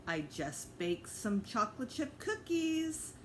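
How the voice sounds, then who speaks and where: A woman's voice: on the high side, sing-song, slow pace, noisy background, pitched above the performer's own voice. A woman voicing a friendly, matter-of-fact grandmother in a children's book read-aloud. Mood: reassuring. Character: Mrs. Apfel, friendly matter-of-fact grandmother next door.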